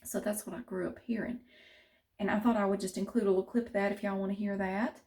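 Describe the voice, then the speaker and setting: A woman's voice: mid-range voice, level tone, quick pace, a little mellow. A middle-aged woman from central North Carolina with a Southern accent, chatting casually to camera with many restarts. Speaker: vlogger.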